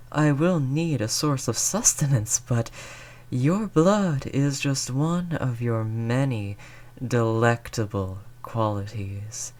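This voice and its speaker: A predatory aristocratic vampire acted out by a performer in a roleplay audio drama. The delivery is very unhurried and theatrical, silky and taunting, drawing out each menace with seductive relish. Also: mid-range voice, darkish tone. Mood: possessive seduction. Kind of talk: scripted roleplay audio monologue.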